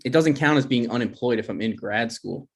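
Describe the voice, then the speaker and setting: A man acts out an insecure, jealous boyfriend in a naturalistic comedy table read. His mid-pitched voice hesitates and stammers as he makes his case. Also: a little fast, lightly acted. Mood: defensive. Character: insecure, jealous boyfriend, unemployed grad student. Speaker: actor in a screenplay table read.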